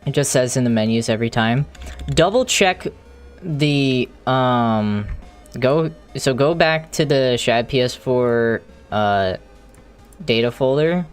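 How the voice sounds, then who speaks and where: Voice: mid-range voice, smooth. Streamer muttering over music. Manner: thinking aloud, halting, muttering to self. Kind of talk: casual live coding commentary. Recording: music playing behind the voice.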